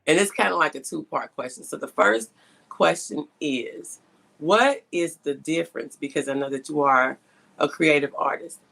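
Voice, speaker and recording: A woman's voice: low voice, normal speed, creaky, a bit bright. A woman hosting a conversational interview, speaking emphatically and repeating key points for stress. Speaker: podcast host and interviewer.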